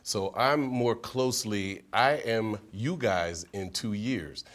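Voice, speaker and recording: A man's voice: on the low side, slow, fairly bright tone. A young man, a newer panelist, giving modest and direct advice. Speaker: real estate panelist.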